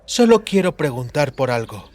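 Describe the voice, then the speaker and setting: A man voicing a quiet, calm and brooding young woman traveler, speaking deliberately. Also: mid-range voice, dry recording, close to an everyday voice. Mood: reassuring. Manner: soft-spoken, deliberate.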